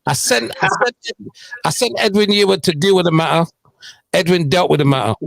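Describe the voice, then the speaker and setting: A man's voice: sits high, animated, brisk, creaky, fairly bright tone, no room sound, low-quality recording. A middle-aged man hosting a radio show, chatting and teasing his guest in a casual interview.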